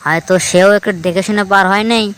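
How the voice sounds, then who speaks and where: A man's voice: high voice, fairly even intonation, fast talker, a bit bright, smooth. A man with a northern Bangladeshi accent playing an exasperated, scolding village friend in a cartoon skit. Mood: resigned, reasoning. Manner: scolding, lecturing. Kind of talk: colloquial dialogue in a cartoon skit.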